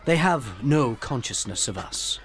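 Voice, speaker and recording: A man's voice: medium pitch, animated, measured pace, faint music behind, natural voice. A man playing a stern, imperious spirit with a grand, reproachful manner in a radio play. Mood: grave.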